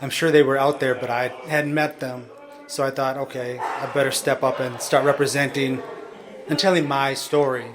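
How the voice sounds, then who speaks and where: A man's voice: mid-range voice, little pitch movement, normal speed, a crowd in the background. A middle-aged man talking casually in an interview about himself. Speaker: spoken word poet being interviewed.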